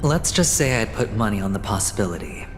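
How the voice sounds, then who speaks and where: A man voicing a suave, sly young gambler in a video game cutscene, with smooth, theatrical, sardonic delivery. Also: on the low side, expressive, fairly quick, background noise, natural voice. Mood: sly, reflective. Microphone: close studio voice recording. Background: game music.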